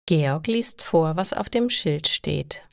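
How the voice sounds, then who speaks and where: A woman's voice: low-pitched, expressive, slow, vocal fry, darkish tone, no echo, studio quality. A woman reading scripted, simple stories for language learners in careful short sentences.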